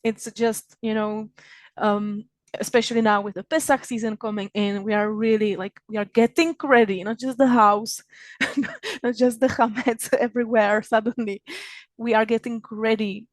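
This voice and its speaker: A woman's voice: a little high, fairly even intonation, no room sound. Czech-accented woman hosting a virtual event. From Czech Republic. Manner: warm-hearted, laughing often, halting with many restarts. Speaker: magazine founder hosting an online event. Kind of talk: opening remarks at a virtual event. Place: home in Israel. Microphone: video call.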